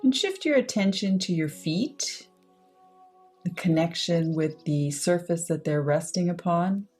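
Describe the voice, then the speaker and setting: A woman with a low, slightly husky voice guides a meditation. Her tone is mellow and relaxed, and she delivers short, measured phrases with unhurried pauses between them. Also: soft background music. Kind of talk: guided meditation, slow soothing instruction.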